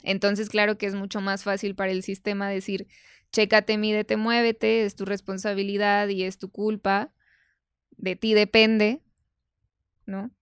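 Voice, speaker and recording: A woman's voice: medium pitch, level tone, a little slow, clean tone, no room sound, clean studio sound. A woman giving conversational explanations as an expert guest, emphatic and didactic, going point by point. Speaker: podcast guest, nutrition expert.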